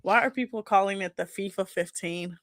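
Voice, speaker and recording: A woman from the United States hosts a casual talk show in a medium-pitched voice. The sound is dry and close. She is gossipy and slangy, often quoting reactions as she goes. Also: brisk, a bit bright, dry recording. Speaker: podcast host. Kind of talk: casual chat.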